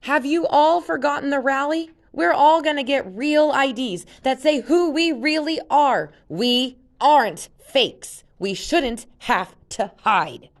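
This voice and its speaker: A woman voicing a fierce, defiant teenage girl from a read-aloud story, talking loud and insistent. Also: sits high, slow pace, few pauses, voice pushed up.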